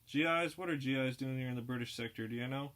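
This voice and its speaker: A man's voice: medium pitch, fast, fairly bright tone, lightly acted. A man voicing a weary young American soldier in an audiobook reading, asking wary questions. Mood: puzzled.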